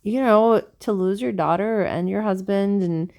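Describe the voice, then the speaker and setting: A woman's voice: medium pitch, a little slow, a little mellow. A woman co-hosting a podcast in casual conversation, talking in an earnest, rambling, musing way. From South America. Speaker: podcast co-host.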